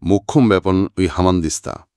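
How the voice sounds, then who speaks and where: A man voicing a boastful, excitable middle-aged comic writer in an audiobook, dramatic and bragging. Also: sits low, a little fast, no echo, clean studio sound. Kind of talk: audiobook character acting.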